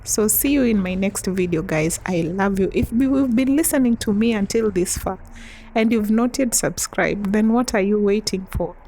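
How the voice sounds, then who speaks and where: A young woman from East Africa telling a chatty storytime vlog, often breaking into soft laughs. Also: mid-range voice, moderate pace, rough recording. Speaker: vlogger.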